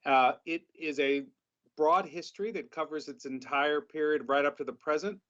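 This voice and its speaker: A middle-aged man, a professor, answering interview questions in a measured, academic way. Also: on the high side, fairly even intonation, fairly bright tone. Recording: dull lo-fi sound.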